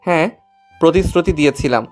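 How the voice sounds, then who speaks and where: A man's voice: fairly high pitch, fast, faint music behind, lightly acted. A man playing a lovestruck, evasive younger brother, an eager young man in an audio story. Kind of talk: Bengali audio story acting.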